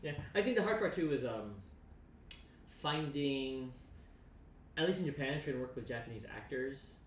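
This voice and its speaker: A man chatting casually as co-host of a livestream, rambling excitably and often repeating his phrases. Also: medium pitch, normal speed, distant mic. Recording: roomy echo, faint background noise.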